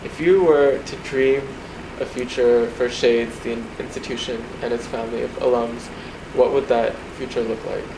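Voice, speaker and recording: A young man from Bangladesh with an American accent, a student interviewing and talking about himself in an even, plain way. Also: medium pitch, normal speed, few pauses, roomy sound, background noise. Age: young adult. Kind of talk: casual interview. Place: apartment.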